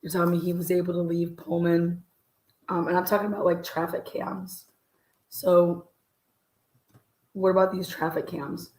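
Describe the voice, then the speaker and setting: A woman with a very raspy, worn-out voice, hosting a casual livestream case commentary in a halting way. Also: on the low side, flat delivery, a little mellow, roomy sound, low-quality recording. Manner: halting, frequent throat clearing. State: voice worn out from overuse. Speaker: host.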